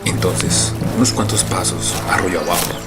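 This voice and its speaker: Male narrator, very deep voice, measured delivery, scripted storytelling, audio drama, prominent music. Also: steady tone.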